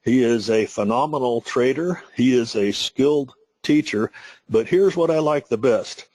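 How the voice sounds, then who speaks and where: A man hosting an online webinar who gives a smooth, read-aloud introduction of the presenter. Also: mid-range voice, darkish tone, no room sound, rough recording. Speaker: webinar host. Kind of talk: scripted introduction.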